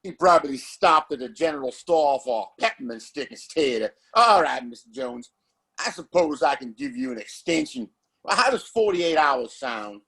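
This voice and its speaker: A smug villainous mayor for a Western audio play. His raspy voice rides fairly high and swoops grandly. Every phrase is pompous and falsely polite. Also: very animated, moderate pace, sharp tone, heavily acted. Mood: falsely sympathetic. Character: smug, oily Old West town mayor.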